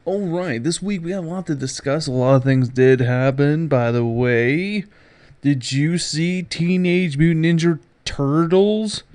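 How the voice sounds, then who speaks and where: Sarcastic, higher-voiced man hosting a podcast. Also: measured pace, a little mellow. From Canada. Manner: sarcastic, profane, emphatic. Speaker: podcast host. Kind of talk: casual pop culture news commentary.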